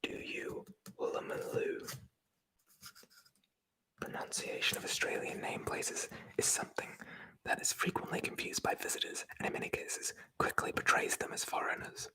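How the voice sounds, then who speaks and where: Someone whispering in a breathy voice, reading aloud from a book in an ASMR style with chatty, informal commentary. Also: normal speed, pushed and bright, low-quality recording. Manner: chatty, informal, swears casually. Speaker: presenter reading aloud from a tabletop RPG book.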